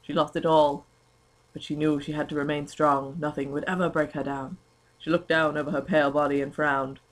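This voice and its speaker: A woman's voice: low voice, flat delivery, brisk, poor audio. A young woman giving a comic, mock-dramatic amateur reading of fan fiction, prone to giggling.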